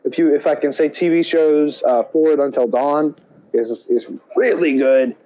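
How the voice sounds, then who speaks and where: A man's voice: fairly high pitch, moderate pace, warm tone, muffled recording. A man co-hosting a podcast in casual chat, often hesitant and thinking aloud. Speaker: podcast co-host.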